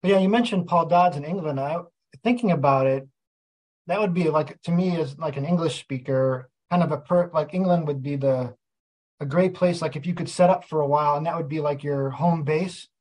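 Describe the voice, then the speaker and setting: A man co-hosting a podcast and talking about his trip in a loose, easygoing way. His speech is heavy on "like" and "you know," and his voice sits a little high. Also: moderate pace, darkish tone, poor audio. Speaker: podcast co-host. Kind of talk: casual chat.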